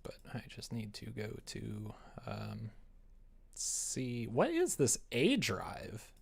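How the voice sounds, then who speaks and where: A man chatting casually on a livestream as he works, rambling and self-deprecating, often muttering to himself, with a gravelly, creaky voice. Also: on the low side, wildly swooping pitch, slow. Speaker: live streamer, game developer. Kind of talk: casual livestream chat while working on screen.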